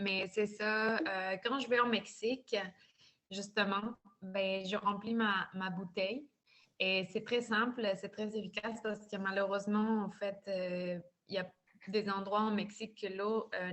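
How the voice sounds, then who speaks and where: Hesitant woman from Mexico. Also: mid-range voice. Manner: soft-spoken, hesitant, many fillers. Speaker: customer giving a testimonial. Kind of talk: casual personal testimonial.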